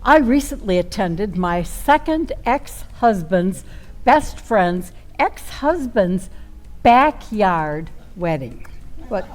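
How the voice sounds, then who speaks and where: Woman playing a slow, swooping, flirty storyteller. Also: medium pitch, big pitch swings, a little mellow. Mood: dreamy, flirty. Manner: theatrical, drawn-out dramatic delivery. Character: Violet Van Zandt, flirty much-married woman storyteller, dreamy and tipsy-witted. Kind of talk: live comic stage monologue. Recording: live theater performance with faint music.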